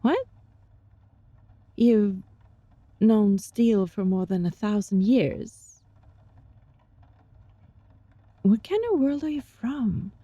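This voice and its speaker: A woman playing a gentle, sly young fox-spirit student in an intimate ASMR roleplay, speaking softly and breathily in a coaxing, teasing way. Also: mid-range voice, takes it slowly, long pauses, no room sound, muffled recording. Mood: curious, teasing. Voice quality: soft, breathy.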